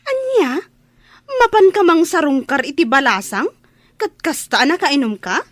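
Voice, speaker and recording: A woman's voice: high-pitched, big pitch swings, unhurried, a bit bright, full character voice. A woman playing a worried, nosy younger sister in a radio drama, full of incredulous questions.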